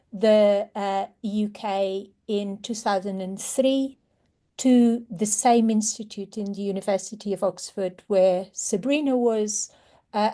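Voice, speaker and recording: A woman from Portugal talks through a laptop mic on a video call, in a mid-pitched voice. She speaks slowly and carefully, leaving small fillers between her thoughts. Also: warm tone. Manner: measured, with fillers. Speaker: researcher. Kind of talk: presentation talk.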